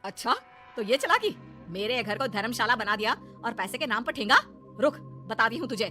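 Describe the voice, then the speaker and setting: A woman's voice: on the high side, animated, fairly quick, pushed and bright, soft background music. A woman voicing a greedy, scolding middle-aged mother-in-law in a cartoon moral story, taunting and sarcastic. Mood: scheming, gleeful. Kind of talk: animated moral story acting.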